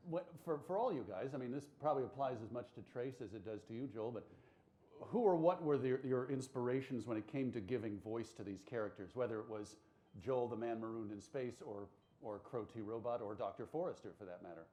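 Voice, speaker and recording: A man's voice: mid-range voice, a little fast, mellow. Rambling male panel moderator. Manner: chatty, rambling questions. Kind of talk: panel interview questions.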